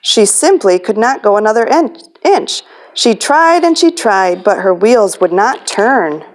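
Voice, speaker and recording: A woman's voice: mid-range voice, very animated, measured pace. A woman hosting a children's storytime as a librarian, reading a picture book aloud.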